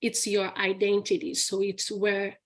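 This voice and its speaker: Woman, Brazilian accent, English teacher, live Q&A, slow pace, chatty, laughs often. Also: medium pitch, completely flat delivery. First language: Portuguese. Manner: chatty, laughs often, many restarts and "okay?" tags. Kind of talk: live Q&A session.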